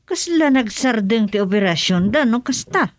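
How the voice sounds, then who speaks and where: A woman's voice: fairly high pitch, lively intonation, moderate pace, no room sound, clean studio sound, close to an everyday voice. A woman with an Ilocano accent playing a sensible, concerned older village mother in a radio drama. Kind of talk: radio drama acting, conversational.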